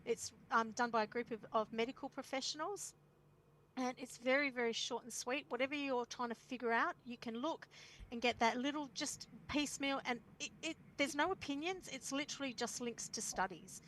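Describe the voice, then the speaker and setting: A woman's voice: high-pitched, normal speed, a bit bright, slight background noise, low-quality recording. A woman with an Australian accent speaking as a guest parent at an online forum, earnest and emphatic, repeating phrases for stress. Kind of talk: impassioned forum talk.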